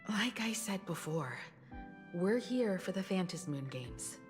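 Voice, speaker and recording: An actress playing a calm, resolute grown daughter in a video game, composed and earnest. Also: female voice, on the low side, unhurried, over music. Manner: composed, earnest, sometimes whispering.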